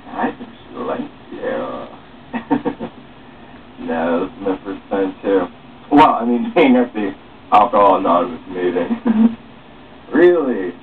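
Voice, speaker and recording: A young man reads a script aloud, acting out every part with lively, swinging pitch and a slightly halting delivery. He sits away from the microphone, and the sound is thin and phone-like with some background noise. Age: young adult. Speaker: author reading own script aloud. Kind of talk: dramatic reading of dialogue, playing all parts. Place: small room at home, seated. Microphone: far camera or webcam microphone. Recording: muffled phone-like webcam sound, distant, noisy.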